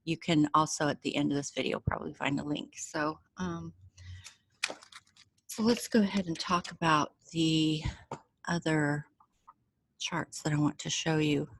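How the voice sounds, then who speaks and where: An American woman with a low-pitched voice talks informally to her viewers as an astrologer. She explains things patiently and step by step, reassuring listeners and checking in as she goes. The sound is dry, with very little room echo. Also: steady tone, unhurried, pauses often. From United States. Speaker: astrologer presenting a chart reading. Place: indoors, away from home.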